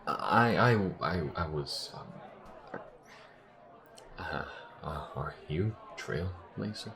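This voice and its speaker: Male actor as gruff alien soldier, extremely low pitch, gravelly fry, easily flustered, halting delivery, audio roleplay. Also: expressive, heavily acted. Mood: flustered, stammering. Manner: halting, stammering when flustered. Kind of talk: scripted audio roleplay acting.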